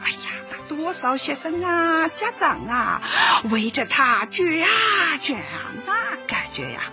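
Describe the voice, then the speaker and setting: High, slow, theatrical old granny. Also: female voice, very animated, sharp tone, full character voice. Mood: theatrical, lamenting. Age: older. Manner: drawn-out, theatrical sighing and interjections. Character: chatty, knowing old granny neighbour, playful amateur analyst. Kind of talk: light comedy radio drama acting. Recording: dull lo-fi audio, faint music.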